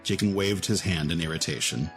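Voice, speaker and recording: A man's voice: low voice, brisk, fairly bright tone. A man narrating a dramatic audio story from a script. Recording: music behind the voice.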